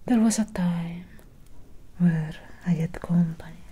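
Woman acting as female vampire physician, gentle and melancholy, ASMR roleplay, breathy whisper, very low pitch. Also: exaggerated voice. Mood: wistful. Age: young adult. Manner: slow, soothing, hushed. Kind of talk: soft-spoken ASMR medical roleplay. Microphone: close microphone.

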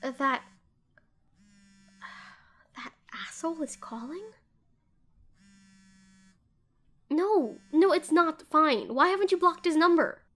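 High-pitched protective female doctor, played by a woman. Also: lively intonation, unhurried, long pauses. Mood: urgent, protective. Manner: earnest, soothing, hushed at times, fierce when protective. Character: protective, tender female doctor comforting an injured ex-lover. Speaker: voice actor in an audio roleplay. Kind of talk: scripted intimate audio roleplay addressed to the listener.

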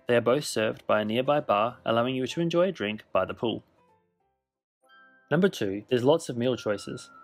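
Gravelly-voiced man narrating a ship tour. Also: mid-range voice. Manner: measured, informative, list-style delivery. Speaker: cruise ship reviewer. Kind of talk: scripted voice-over narration.

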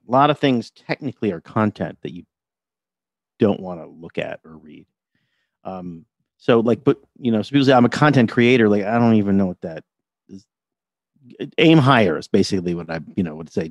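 A man's voice: lowish voice, lively intonation, brisk, a little mellow, no room sound. A man, a television writer and producer, chatting as a podcast guest in a wry, talkative way, often repeating words as he starts a thought. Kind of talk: casual interview chat.

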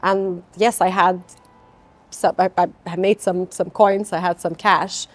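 A middle-aged woman speaks, answering interview questions in an eager, heartfelt way. She often doubles her words for emphasis. She is recorded on a lapel mic in a bright office lounge. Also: lowish voice, level tone, unhurried. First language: Lithuanian. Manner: enthusiastic, repeats words for emphasis. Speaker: company founder, interviewee. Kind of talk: conversational interview. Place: bright open office lounge with large windows.

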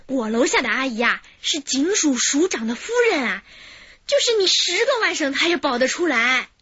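A woman's voice: high voice, big pitch swings, moderate pace, pushed and bright, no echo, exaggerated voice. An actress playing a wary young woman from Anhui who acts as a cautious go-between in a radio drama. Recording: slightly dull broadcast audio with faint hiss.

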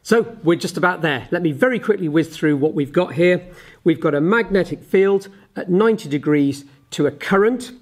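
Male teacher, forties, slightly high-pitched voice, explains a hands-on demonstration in orderly steps. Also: a little mellow. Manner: methodical, step-by-step explaining. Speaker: physics teacher. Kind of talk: explanatory lesson with live demonstration. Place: indoors, small office at a desk.

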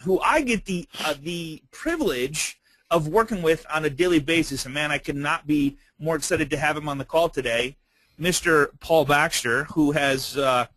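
A man's voice: a little high, normal speed, fairly bright tone, no room sound, rough recording. A man hosting a webinar in a casual, effusive and enthusiastic way, chatting with his guest. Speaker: webinar host. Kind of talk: casual chat, introducing and prompting a guest.

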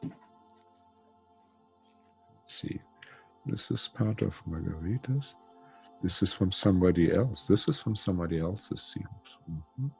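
Older male healer, deep gravelly voice, rambling fillers, headset call. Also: expressive, measured pace, pauses often, soft background music, poor audio. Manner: chatty, warm-toned, many "you know" fillers. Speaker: spiritual healer. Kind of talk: guided spiritual session. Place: home room. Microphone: headset on video call.

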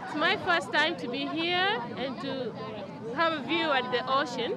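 A woman from Africa talking excitedly about seeing the ocean for the first time, outdoors. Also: high voice, unhurried, a loud crowd, heavy background noise. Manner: excited, lively. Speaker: camp participant.

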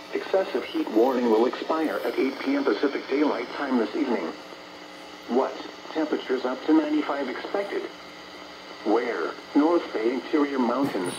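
An American man's synthetic, measured announcer voice reading an automated weather forecast, played over a radio. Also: mid-range voice. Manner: flat, synthetic, measured delivery. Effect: radio broadcast. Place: played from a portable radio indoors. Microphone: camera or phone near the radio. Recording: noisy radio speaker picked up in room.

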